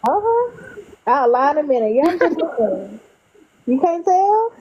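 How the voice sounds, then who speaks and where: A woman's voice: sits high, big pitch swings, normal speed, noisy background. A woman hosting a casual chat with a viewer, talking in a loose, chatty way and sounding tired. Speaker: channel host. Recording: dull lo-fi sound.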